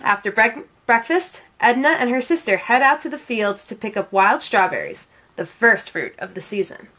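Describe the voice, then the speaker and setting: A woman with a fairly high voice reads a picture book aloud. Her delivery is measured and expressive, shaped as storytelling for children. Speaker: read-aloud reader.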